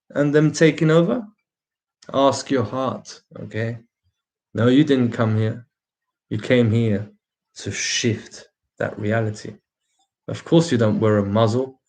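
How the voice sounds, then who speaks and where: Man with a slightly British lilt. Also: mid-range voice. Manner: emphatic rhetorical questions to viewers; laughs often between remarks. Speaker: livestream host. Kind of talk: casual livestream talk answering viewers.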